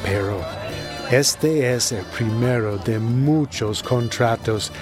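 Slow-talking arrogant businessman, acted by a man. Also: mid-range voice, over music, natural voice. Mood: calculating. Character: arrogant, greedy foreign businessman, contemptuous of poor workers. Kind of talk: radio drama acting.

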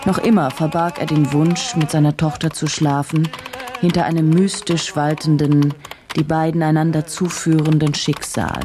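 An actress playing an intense, probing female journalist in a radio drama, speaking in deliberate, clipped, analytical phrases. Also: low-pitched, level tone, music in the background, lightly acted. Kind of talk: German radio drama acting.

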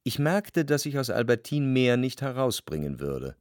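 A man's voice: medium pitch, very animated, moderate pace, a little mellow, no room sound, studio quality, lightly acted. An actor playing the introspective narrator of a literary radio drama, a tormented, jealous lover who reflects precisely and expressively on his past. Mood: wounded, analytical. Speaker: first-person narrator.

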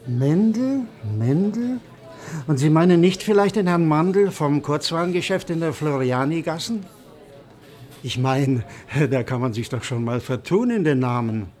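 A helpful head waiter in an old café, speaking. His voice sits somewhat high. His talk is chatty and obliging, as in a classic radio play. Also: expressive, a little slow, a little mellow.

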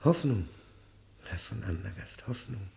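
A man playing a tormented, embittered prisoner serving life in a German radio drama, brooding and halting, at times sinking into a breathy whisper. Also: lowish voice, lively intonation, warm tone. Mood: despairing, disgusted. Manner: brooding, halting, repeats words for emphasis.

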